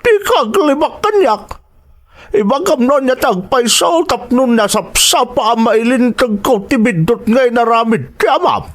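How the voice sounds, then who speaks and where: A man's voice: extremely high pitch, lively intonation, measured pace, exaggerated voice. A man playing an angry, scolding old grandfather in an Ilocano audio drama.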